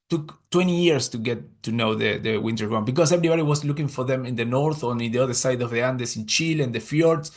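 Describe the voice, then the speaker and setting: A man with an Argentine Spanish accent, giving a webinar talk over a video call in English, his second language, with many fillers and restarts. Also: on the high side. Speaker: guest speaker, conservation scientist. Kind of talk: webinar presentation. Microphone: computer mic on a video call.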